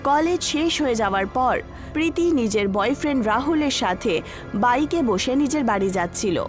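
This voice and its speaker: A woman's voice: on the high side, expressive, moderate pace, faint music behind. A woman narrator reading a scripted horror story.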